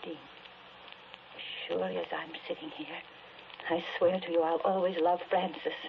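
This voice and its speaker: An actress playing a grieving, desperate widow in a melodramatic 1940s radio drama, intense, moving between hushed murmurs and pleading. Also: female voice, lowish voice, little pitch movement, normal speed, lightly acted. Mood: grave, resolute. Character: grieving young widow, desperate and obsessively devoted to her dead husband. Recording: muffled, noisy 1940s radio recording.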